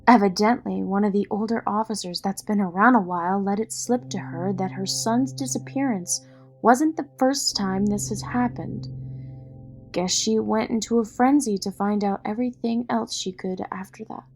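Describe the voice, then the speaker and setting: A woman playing a loyal, steady partner to a vigilante in an audio drama, frank and measured. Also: medium pitch, close to an everyday voice. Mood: explaining. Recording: dramatic music underneath. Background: music.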